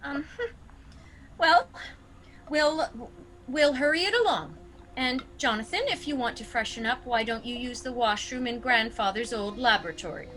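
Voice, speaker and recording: An actress playing a prim, polite but firm old aunt in a radio play. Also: female voice, fairly high pitch, normal speed, pushed and bright, low-quality recording. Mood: reluctant hospitality.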